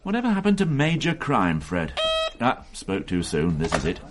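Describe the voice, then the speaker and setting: A sardonic detective inspector with a dry, cutting tongue. His lowish voice swings widely up and down as he needles his juniors, and a faint hiss sits under the old radio recording. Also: wildly swooping pitch, normal speed. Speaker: police detective. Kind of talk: radio drama acting.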